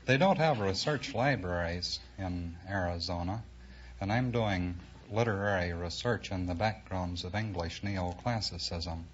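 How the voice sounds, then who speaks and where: A man from Oregon, an English professor, giving measured, dry and learned answers as a quiz contestant on old radio. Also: low-pitched. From Oregon, United States. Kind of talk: formal interview answers. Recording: dull old radio recording, faint noise.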